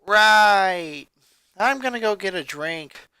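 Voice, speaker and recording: A man voicing a blunt young tomboy girl who is gruff but caring, in a fan fiction reading. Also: high-pitched, expressive, takes it slowly, sharp tone, no room sound, full character voice. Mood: irritated.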